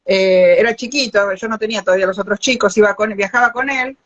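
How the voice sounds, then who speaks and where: A woman's voice: mid-range voice, fast talker, muffled recording. An older woman from Argentina with a Rioplatense accent, a biologist hosting a live interview and telling stories with many restarts. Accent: Rioplatense Spanish. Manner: animated storyteller, many restarts. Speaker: host, biologist. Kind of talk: live interview, casual chat. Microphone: video call.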